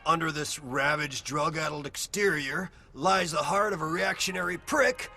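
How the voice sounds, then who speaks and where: An actor playing a sarcastic, wisecracking biker gang member in a video game, slangy and contemptuous. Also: male voice, fairly high pitch, moderate pace, pushed and bright, faint music behind. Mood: mocking. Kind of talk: video game cutscene acting.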